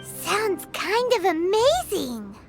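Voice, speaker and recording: A woman voicing a tiny, cheerful childlike fairy companion with a squeaky, expressive voice in an anime-style game. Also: takes it slowly, creaky, exaggerated voice. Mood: curious, amazed. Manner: expressive, speaks of herself in third person. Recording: loud game music under the voice.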